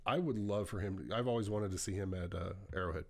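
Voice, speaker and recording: A man's voice: sits low, fast, creaky, darkish tone. A man co-hosting a podcast in casual, rambling chat, swearing now and then. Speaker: podcast co-host.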